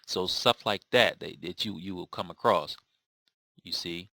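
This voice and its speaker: A man with a very low voice that still rings bright and forceful talks through a viewer's question in a loose, rambling way. He restarts his sentences and leans on fillers as he explains. The sound is dry and close, with no room around it. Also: a little slow, creaky, no echo. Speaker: language learning vlogger. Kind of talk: casual spoken video answer.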